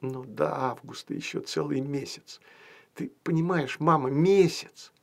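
A man's voice: a little high, a little slow, vocal fry, pitched above the performer's own voice. A man voicing a bored, impatient little girl in a storybook, with a pleading, whiny manner. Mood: upset.

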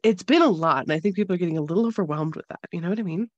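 A woman co-hosting a casual spiritual podcast, a little nasal from a cold, bubbly and animated. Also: lowish voice, very fast, dry recording, muffled recording. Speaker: podcast co-host.

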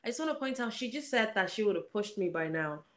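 A woman's voice: medium pitch, animated, brisk. A woman joins a podcast as a guest and chats casually. She argues in a playful way and often repeats her questions as she presses her point. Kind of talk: casual chat.

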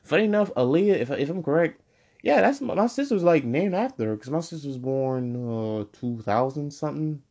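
A man's voice: fairly high pitch, expressive, a little fast, a little mellow. A man in his twenties hosting his own podcast in a casual, rambling way, full of fillers and self-corrections. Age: 20s. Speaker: podcast host. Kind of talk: casual solo podcast monologue.

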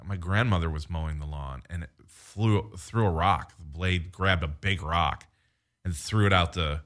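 A man, a podcast guest, chatting casually and joking in a deadpan way. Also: sits low, a little slow. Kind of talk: casual chat.

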